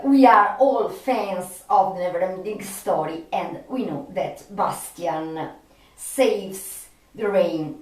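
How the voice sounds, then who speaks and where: Slow, halting woman in an echoey room. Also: fairly low pitch. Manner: halting, with long searching pauses. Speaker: video creator and film fan. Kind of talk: unscripted personal monologue to viewers. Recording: echoey room, distant microphone.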